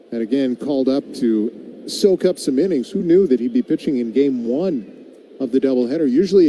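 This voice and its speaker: A man calling a baseball game as a radio play-by-play announcer, describing each pitch and filling in between with commentary. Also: dark tone, slight background noise, rough recording.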